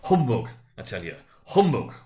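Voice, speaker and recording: A man voicing a caustic, sceptical old miser in a dramatic storybook reading, sharp and emphatic in delivery. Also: mid-range voice, big pitch swings, moderate pace, close to an everyday voice. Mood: defiant.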